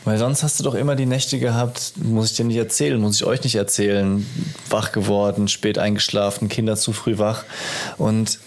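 A man's voice: on the low side, flat delivery, normal speed. A tired man, likely in his thirties, chatting casually and rambling with self-deprecation as a podcast co-host. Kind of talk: casual banter podcast chat.

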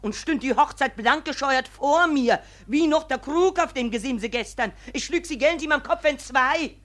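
A woman's voice: high-pitched, moderate pace, fairly bright tone. An actress playing a quarrelsome, indignant middle-aged peasant mother in a classical German radio play, scolding and sarcastic.

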